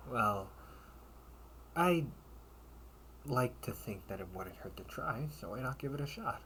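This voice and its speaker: A man playing a loyal young knight in an audio roleplay, a caring, gently teasing friend who speaks in halting, formal phrases. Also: medium pitch, animated, a little hiss or hum. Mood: gentle concern. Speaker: amateur audio roleplay performer. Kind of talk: intimate audio roleplay acting.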